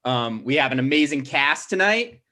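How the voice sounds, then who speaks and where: A man's voice: mid-range voice, animated, normal speed, pushed and bright. A man casually introducing a table read as its writer and host, hesitant and informal.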